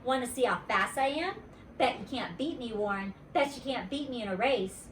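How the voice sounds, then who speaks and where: A woman voicing a boastful, competitive, excited schoolgirl in a storybook, showy and demanding. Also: on the high side, moderate pace, pitched above the performer's own voice. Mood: challenging. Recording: roomy, distant mic, faint noise.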